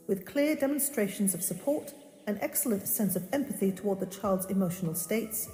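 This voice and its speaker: In a long, echoing reverb, a woman acts out a female official reading a formal evaluation. She is deliberate and officious, with a mellow tone. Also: medium pitch, close to an everyday voice. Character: formal adoption official's evaluation letter being read out. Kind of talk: formal letter read aloud. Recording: echoey hall-like reverb.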